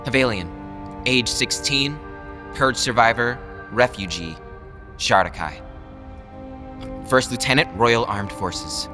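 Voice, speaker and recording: A man's voice: medium pitch, slow pace, pauses often, music in the background, lightly acted. An actor playing a teenage boy officer formally stating his record in an audio drama. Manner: formal roll-call delivery.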